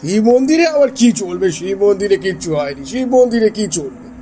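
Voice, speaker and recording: A male actor as an elder brother in a horror audio drama, pitched very high for a man. He is irritable and evasive, scolding insistently as if guarding a secret. Music plays underneath. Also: big pitch swings, normal speed, warm tone. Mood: defensive.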